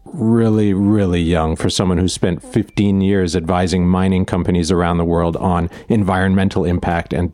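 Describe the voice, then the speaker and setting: A man's voice: low-pitched, mellow, dry recording. A man in his forties hosting a podcast, introducing his guest with an enthusiastic, informal delivery. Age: 40s. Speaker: podcast host.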